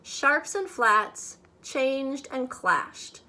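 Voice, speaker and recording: Woman, crisp bright voice, slow pace, expressive storybook read-aloud, dramatic phrasing for children. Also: high-pitched. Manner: measured, dramatic phrasing for young listeners. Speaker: storybook reader.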